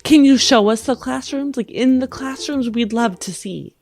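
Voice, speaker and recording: A woman's voice: high voice, normal speed, darkish tone, soft background music, voice pushed up. A woman playing an effusive, insistent wife posing as a prospective adoptive mother in a tabletop game. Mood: pleading, insistent.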